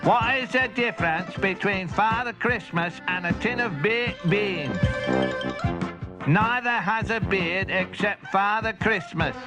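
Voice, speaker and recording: A man voicing a blustering dragon's head that tells jokes like a music-hall comedian in a children's audiobook. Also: sits high. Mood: hammy, gleeful. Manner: repetitive stand-up comic patter, drawn-out punchlines.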